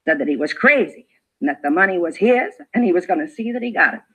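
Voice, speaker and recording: An actress playing a prickly, self-important neighborhood gossip in a 1950s radio drama, huffy and argumentative in clipped, emphatic phrases. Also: female voice, lowish voice, animated, fast, a bit bright, slightly rough. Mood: gossipy, indignant. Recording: dull vintage radio recording.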